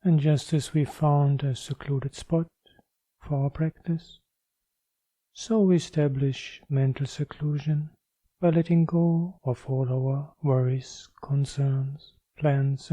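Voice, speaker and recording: A man leading a guided meditation as a teacher, with measured, deliberate phrasing and pauses. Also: medium pitch, steady tone, dark tone, no room sound.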